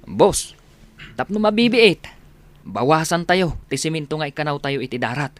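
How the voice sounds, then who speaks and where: An actor with a Filipino accent playing an eager, deferential worker who slyly cuts corners in a radio drama. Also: male voice, a little high, very animated, moderate pace. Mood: sly, suggesting. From Philippines. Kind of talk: Philippine radio drama acting.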